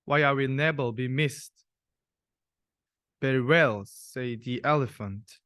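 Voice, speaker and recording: A man's voice: mid-range voice, slow pace, fairly bright tone, clear, resonant tone, dry recording, clean studio sound. A young man, a student, reading a rhyming children's story aloud. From South Korea. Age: young adult. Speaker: university student reader.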